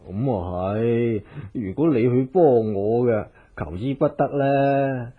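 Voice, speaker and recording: A timid, husky household cook. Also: male voice, medium pitch, slow pace. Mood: doting, pleased. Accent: Hong Kong Cantonese. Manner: hesitant, sighing, deferential. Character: timid, doting male household cook, eager to please. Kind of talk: Cantonese radio drama acting. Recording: muffled narrow-band old radio recording.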